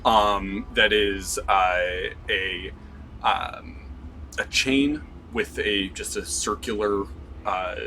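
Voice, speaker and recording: A man narrating as game master, unhurried and stopping often to find his words. His tone is bright and forward, a little low. Faint background noise under him. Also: rough recording. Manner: slow, descriptive, many "um"s.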